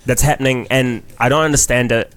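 A man's voice: mid-range voice, fairly even intonation, fairly quick, fairly bright tone, slight background noise. A man from New Zealand with a New Zealand accent, a guest comedian talking frankly and at length on a casual podcast, swearing freely and restarting his sentences.

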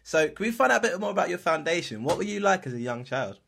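A man's voice: sits high, very animated, fast, creaky, a bit bright. A man hosting an interview, asking questions and affirming the guest's answers encouragingly. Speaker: interview host. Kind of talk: conversational interview.

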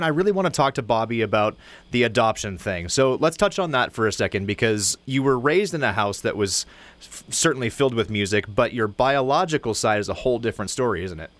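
A man's voice: mid-range voice, fairly bright tone, no room sound. A man casually co-hosting an interview show. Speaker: show co-host.

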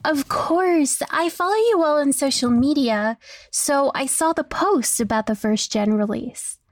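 A woman's voice: sits high, expressive, measured pace, no room sound, studio quality. An actress playing a chirpy female test volunteer in an audio drama, friendly and eager to please.

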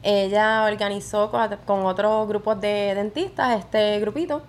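A woman with a Puerto Rican accent, a dental assistant volunteer, explaining in a measured conversational way. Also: medium pitch, fairly even intonation. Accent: Puerto Rican Spanish. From Puerto Rico. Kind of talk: conversational interview answers.

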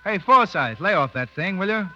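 An actor in a 1930s radio drama playing a seasoned, wry cavalry lieutenant with a needling, colloquial way of talking. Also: male voice, high voice, expressive, normal speed, pushed and bright, full character voice. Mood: wry, irritated. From Canada. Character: seasoned cavalry lieutenant, wry, insubordinate, big-brotherly man. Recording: dull vintage 1930s radio recording, music behind.

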